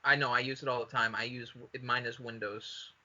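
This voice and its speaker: A man hosting a casual comedic podcast, riffing excitably and swearing freely. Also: mid-range voice, brisk, highs cut off. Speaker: podcast host.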